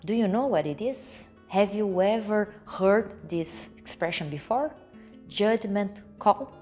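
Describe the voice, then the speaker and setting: Slow-speaking Brazilian woman teaching English. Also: mid-range voice, lively intonation, darkish tone, soft background music. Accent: Brazilian Portuguese. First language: Portuguese. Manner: slow and careful, stresses and repeats key words. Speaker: English teacher and channel host. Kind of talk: relaxed explanatory lesson to camera.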